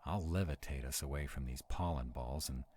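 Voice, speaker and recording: Man acting a very deep-voiced sarcastic vampire. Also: moderate pace, a little mellow, slight background noise. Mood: urgent, protective. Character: sarcastic male vampire, protective, wary, quick-witted. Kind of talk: intimate scripted roleplay audio drama.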